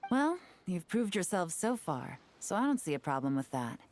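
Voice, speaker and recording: A woman's voice: lowish voice, sing-song, darkish tone. A woman playing a poised, shrewd investigator in a video game, speaking with composed, confident polish. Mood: approving. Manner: composed, measured, coolly confident. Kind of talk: video game character voice acting.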